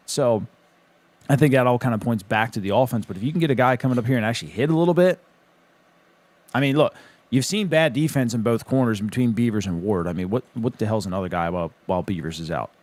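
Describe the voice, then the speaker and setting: A man's voice: medium pitch, fast talker, darkish tone, no room sound. A man co-hosting a casual sports talk show, blunt and wry. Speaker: sports podcast co-host.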